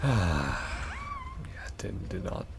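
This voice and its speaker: A man giving quiet, casual reaction commentary as the host of a gaming video, in a low voice. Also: sing-song, drawn-out words, vocal fry, noisy background.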